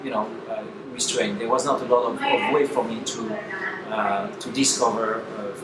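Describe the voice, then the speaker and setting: A man's voice: medium pitch, steady tone, moderate pace. A man in his forties with a French accent, a painter telling his own story and searching for words as he goes. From France. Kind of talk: personal account and speech. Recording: echoey and noisy, from far off.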